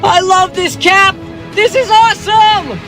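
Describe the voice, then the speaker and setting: An actor playing an eager, boyish young soldier sidekick in an audio drama. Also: male voice, very high-pitched, fairly even intonation, a little slow, music in the background, a crowd in the background. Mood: thrilled.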